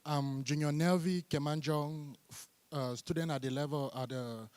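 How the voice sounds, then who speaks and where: A young man with a Cameroonian accent puts a formal question as a student audience member. His voice is fairly high for a man, with long reverb from the hall around it. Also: fairly even intonation, moderate pace, darkish tone, clean tone. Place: large conference hall, public address.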